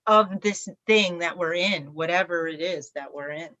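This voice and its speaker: A woman's voice: fairly low pitch, moderate pace, sharp tone, no echo. A woman musician being interviewed, talking in a reflective, rambling way with many fillers and restarts. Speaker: band singer, interview guest. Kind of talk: casual interview chat.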